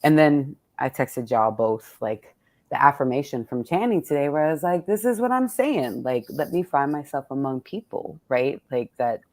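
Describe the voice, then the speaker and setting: A woman's voice: a bass voice, animated, normal speed, darkish tone, no room sound. A middle-aged woman in casual, reflective conversation, thinking aloud candidly and often repeating "like". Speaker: poet hosting a virtual book event.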